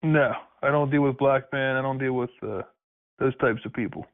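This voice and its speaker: A man answers a prank call in an irritated, dismissive way. His voice sits at an ordinary pitch. It comes through a thin, phone-like line. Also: fairly even intonation, normal speed.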